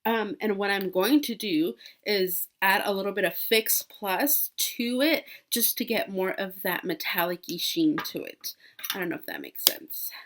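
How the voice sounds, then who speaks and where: A woman's voice: mid-range voice, a bit bright. A woman in her twenties, sick with a cold, chatting casually through a makeup tutorial with many fillers and restarts. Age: 20s. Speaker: beauty vlogger.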